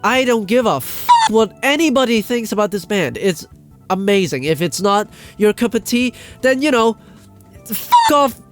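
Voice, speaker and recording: A man's voice: sits high, animated, normal speed, faint music behind. A man giving a casual ranting commentary as a video host, exasperated, emphatic and sweary, often repeating himself for stress.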